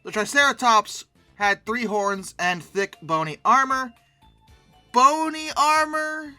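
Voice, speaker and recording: Very bright forceful voice, medium pitch, humorous casual commentary, rambling, animated exclamations, faint music behind. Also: slow pace, dry recording. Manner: animated, exclamatory, mock-outraged outbursts. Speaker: host.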